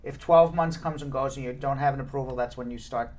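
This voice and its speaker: A man's voice: mid-range voice, fast, a little mellow. Male legal show host, blunt and joking, fielding viewer questions. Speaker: immigration lawyer and show host. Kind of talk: live Q&A show.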